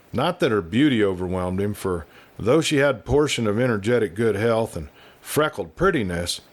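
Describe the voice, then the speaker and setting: A man reading a western story aloud as scripted narration, with a dramatic, expressive delivery. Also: medium pitch. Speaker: audiobook reader and show host.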